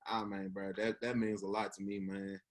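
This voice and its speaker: A man's voice: fairly low pitch, flat delivery, moderate pace. Earnest young Memphis man chatting. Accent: Memphis Southern US. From Memphis, United States. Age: 20s. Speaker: podcast host. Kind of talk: casual reminiscing chat.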